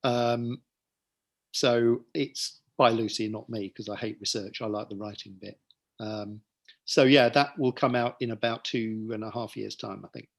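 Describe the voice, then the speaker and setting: A man's voice: mid-range voice, steady tone, unhurried, vocal fry. A man talking as an author at an online book launch, chatty and self-deprecating, with joking asides, over a video call. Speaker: author and guest. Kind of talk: casual book-launch conversation.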